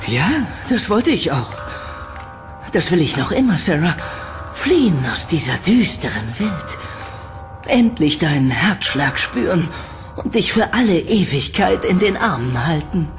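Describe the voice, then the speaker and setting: A man's voice: on the high side, big pitch swings, measured pace, over music. An actor playing an eerie, lovesick young man, tender and sinister, in a horror audio drama. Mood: yearning.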